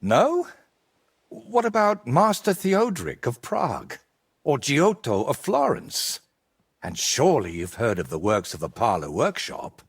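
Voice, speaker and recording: An actor playing an ambitious, vain medieval painter in a video game, speaking in an articulate, theatrical and persuasive way. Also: male voice, mid-range voice, huge pitch swings, measured pace, husky, full character voice. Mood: proud, persuasive. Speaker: painter character. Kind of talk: video game cutscene dialogue.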